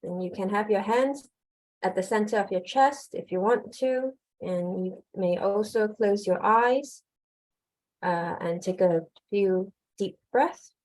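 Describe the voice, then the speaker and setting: Hesitant, soft-spoken woman emcee. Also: medium pitch, takes it slowly, low-quality recording. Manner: hesitant, many fillers, polite. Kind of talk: moderating an online conference.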